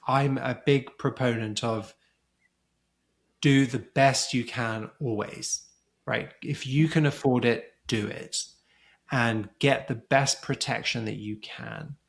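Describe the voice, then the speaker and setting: A man's voice: mid-range voice, fairly even intonation, slow pace, creaky. A man talking as a guest in a podcast interview, explaining things thoughtfully and often restarting his sentences. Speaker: podcast guest, surrogacy community co-founder. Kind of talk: casual conversational interview.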